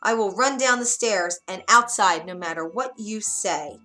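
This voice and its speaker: A woman's voice: high voice, sing-song, normal speed, fairly bright tone, soft background music, pitched above the performer's own voice. A woman voicing a restless young girl who complains and defies her captor in a storybook. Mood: defiant. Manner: complaining, defiant.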